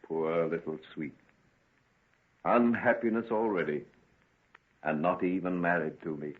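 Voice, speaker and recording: An actor playing a tormented, brooding gentleman scientist in an intense, melodramatic golden age radio drama style. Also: low voice, unhurried. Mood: bitter. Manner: intense, melodramatic, grandiose phrasing. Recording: tinny band-limited vintage radio recording.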